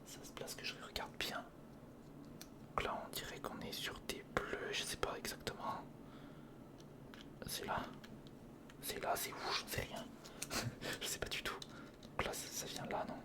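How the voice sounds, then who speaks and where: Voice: a little slow, long pauses. A person whispering informal ASMR commentary in short step-by-step remarks while building, speaking directly to the viewer. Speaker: ASMR video creator and host.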